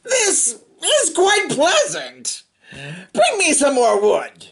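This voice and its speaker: A reader voicing a polite, calm condemned man from a storybook, pleading gently and speaking without hurry. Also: very high voice, very slow, gravelly, pitched above the performer's own voice, heavily acted. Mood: contented. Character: condemned Chinese brother, polite, calm, cheerfully unharmed young man.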